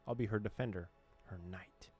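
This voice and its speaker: A man reading a visual novel's text aloud as a streamer, with quick joking asides. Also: on the low side, a little mellow. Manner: reads dialogue aloud, breaks off with joking asides and laughs. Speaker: gaming streamer. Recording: faint game music behind.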